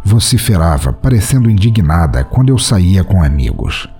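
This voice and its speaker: A man narrating a dark first-person short story for a podcast, brooding and bitter. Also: deep voice, big pitch swings, normal speed, breathy edge, faint music behind.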